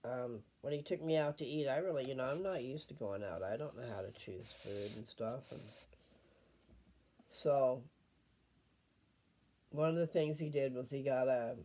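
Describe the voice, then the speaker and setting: An older man chatting casually and reading scripture at home, unhurried and wandering as he thinks aloud. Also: medium pitch, mellow.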